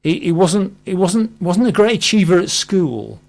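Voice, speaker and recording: A man hosting a live radio interview, speaking in a hesitant, halting way. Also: sits high, sing-song, gravelly, a little mellow. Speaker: radio host.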